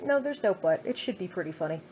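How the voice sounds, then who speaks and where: A woman's voice: lowish voice, lively intonation, quick pace, darkish tone, a little hiss or hum, poor audio. A woman in her twenties, a streamer reading her own old fan fiction with self-mocking, over-the-top jokes. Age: 20s. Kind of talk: casual chat then dramatic story reading.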